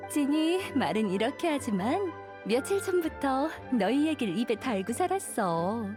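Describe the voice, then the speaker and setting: A woman's voice: high voice, big pitch swings, normal speed, over music. A woman playing an elegant, languid young witch in an anime game, playful, teasing and doting.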